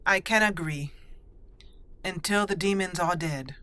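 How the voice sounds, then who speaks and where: A woman's voice: lowish voice, measured pace, bright, forceful tone. A woman voicing a stern young elf mage woman, speaking in firm, commanding lines. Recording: faint game music behind.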